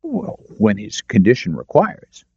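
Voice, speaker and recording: A man's voice: lowish voice, wildly swooping pitch, measured pace, darkish tone, husky, no room sound, dull audio, full character voice. Man playing a flustered, stammering older rest-home proprietor. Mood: uneasy. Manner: stammering, hesitant. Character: cowed, apologetic, defensive older man running a rest home.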